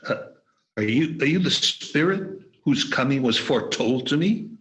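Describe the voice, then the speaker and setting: A man's voice: medium pitch, animated, moderate pace, fairly bright tone, lightly acted, poor audio. A man playing a nervous, remorseful old miser in a radio play, stammering and hesitant.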